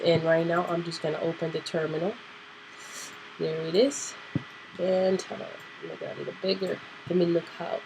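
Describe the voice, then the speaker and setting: A woman hosting a casual computer tutorial, nasal and clearing her throat because she is sick, thinking aloud with fillers. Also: lowish voice, noisy background. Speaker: tutorial host. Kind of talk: casual screen-recorded tutorial.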